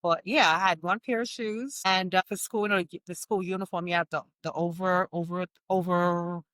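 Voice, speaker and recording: A woman's voice: on the low side, steady tone, normal speed, a bit bright, no echo. A woman, a fitness coach telling her life story as a podcast guest, laughing often. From Jamaica. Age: 60s. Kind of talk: podcast interview.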